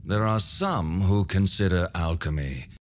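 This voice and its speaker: An actor playing an old alchemist hermit in a video game, courteous and knowing, with measured, formal, cryptic delivery. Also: male voice, low-pitched, soft background music. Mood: instructive. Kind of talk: video game cutscene voice acting.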